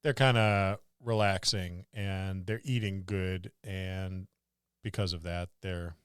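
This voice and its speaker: A man with a smooth, fairly low voice chats on a gaming podcast. He builds his thoughts in short phrases with pauses between them. The recording is close and dry. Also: fairly even intonation, fairly bright tone, dry recording. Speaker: podcast co-host. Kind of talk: casual chat about tabletop gaming.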